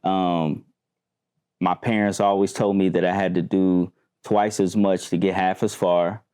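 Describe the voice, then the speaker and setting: Male host, low voice, warm tone, easygoing questions, lots of agreeing. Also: flat delivery, unhurried, clear, resonant tone. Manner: conversational, affirming, asks follow-up questions. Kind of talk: talk show discussion.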